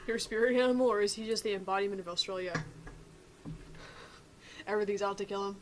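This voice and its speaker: A woman's voice: mid-range voice, steady tone, quick pace, background noise. A woman co-hosting a gaming stream, chatting casually with teasing, slangy banter.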